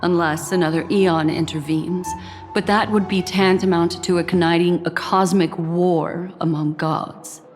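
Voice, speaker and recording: An actress playing a composed, enigmatic mystic woman in a video game, grave and deliberate in her delivery. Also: fairly low pitch, steady tone, natural voice. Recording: long reverb, music underneath.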